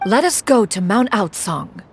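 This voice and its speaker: A woman's voice: mid-range voice, animated, a little slow. Lilting, stately immortal lady. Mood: assured, reassuring. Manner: formal, stately, assured. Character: poised, aloof, protective immortal woman with archaic speech. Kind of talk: video game voice acting. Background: soft game music.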